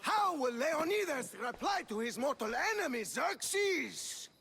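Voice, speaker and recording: An actor playing a melodramatic stage narrator in a video game, announcing with theatrical flourish. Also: male voice, very high-pitched, big pitch swings, measured pace, vocal fry, pushed and bright, exaggerated voice. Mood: dramatic, goading.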